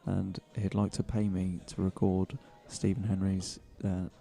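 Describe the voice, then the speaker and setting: A deep, dark-toned man commentates pool in a relaxed, chatty way. His soothing voice stays fairly flat, with faint music underneath. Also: unhurried, gravelly. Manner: relaxed, chatty and self-deprecating. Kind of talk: live stream commentary chat.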